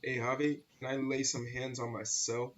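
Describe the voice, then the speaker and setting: A man's voice: medium pitch, flat delivery, normal speed, muffled recording. Playful male tabletop player. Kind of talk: casual tabletop game chat.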